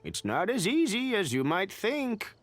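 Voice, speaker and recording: Crusty old villager, wildly swooping voice. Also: male voice, high voice, wildly swooping pitch, a little slow, a bit bright, exaggerated voice. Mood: wistful, doubting. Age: older. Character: crusty, exacting elderly male villager. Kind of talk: video game voice acting.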